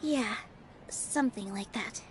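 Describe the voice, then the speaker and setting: A gentle young dancer in a game. Her high voice is earnest and polite, with faint ambient noise behind it. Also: takes it slowly. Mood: sincere. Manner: polite, sincere. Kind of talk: video game voice acting.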